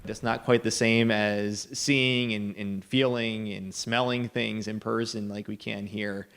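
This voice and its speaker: Gravelly, low, even-toned man. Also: measured pace. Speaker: nature center worker being interviewed. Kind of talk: conversational news interview.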